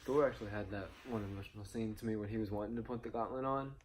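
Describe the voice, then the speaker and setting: A young man hosting a casual movie review chat, talking excitedly and acting out lines from the film. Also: fairly low pitch, racing, a crowd in the background. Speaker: host.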